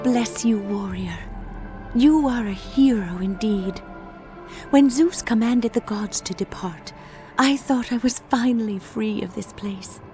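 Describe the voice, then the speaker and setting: A gentle, sorrowful spring goddess for a video game. She speaks slowly and formally in a fairly high, solemn voice, over faint music. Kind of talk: scripted game cutscene acting.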